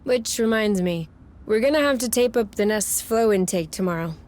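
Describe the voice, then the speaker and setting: A woman's voice: mid-range voice, animated, normal speed, a little mellow, natural voice. A woman playing a playful, teasing young woman in casual video game dialogue. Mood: relaxed, playful. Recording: music behind the voice.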